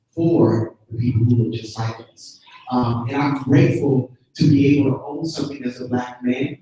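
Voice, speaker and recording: A man's voice: medium pitch, dark tone. A man speaking earnestly on a panel in a casual, slangy way about his community project. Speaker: panelist, business founder. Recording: roomy, distant sound.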